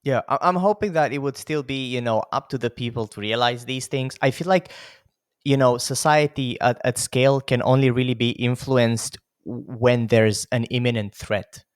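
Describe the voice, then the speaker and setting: A man's voice: mid-range voice, normal speed, clear, resonant tone, dry recording, studio quality. A man in his thirties from Romania, a podcast host talking casually with many fillers. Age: 30s. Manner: chatty, lots of "like" and "you know" fillers. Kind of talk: casual conversation.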